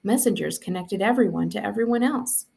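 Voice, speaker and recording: Female school librarian, storybook narration, mid-pitched voice with heavy vocal fry. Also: brisk, darkish tone. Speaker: school librarian reading aloud.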